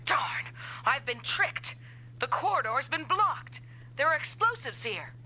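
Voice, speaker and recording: An actress playing a brisk, commanding female mech pilot and team leader in an anime-style game dub. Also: high-pitched, very animated, sharp tone, exaggerated voice, poor audio.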